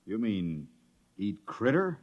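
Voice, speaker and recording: Worried scientist, low voice, halting and understated, weary, 1950s radio drama, dull old recording. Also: male voice, big pitch swings, full character voice. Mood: reluctant. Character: glum male expedition scientist, weary and worried.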